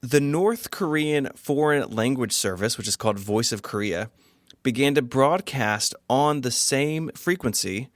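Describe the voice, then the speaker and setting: Male podcast co-host, conversational narration, mid-pitched voice, dry close-miked sound. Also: lively intonation, a little slow, no room sound.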